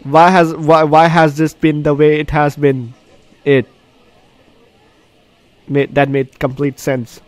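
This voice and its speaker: A man's voice: on the high side, flat delivery, takes it slowly, long pauses, dry recording. A man hosting a game walkthrough, reading the on-screen story aloud and reacting in an exclamatory way. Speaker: gaming host. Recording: game music behind commentary. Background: game music.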